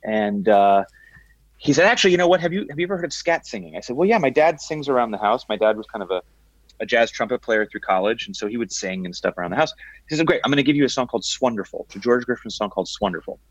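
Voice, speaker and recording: A man with a big baritone voice chatting as a podcast guest, telling rambling, self-deprecating stories with many restarts. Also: expressive, fast talker, no echo, lo-fi sound. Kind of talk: casual podcast chat.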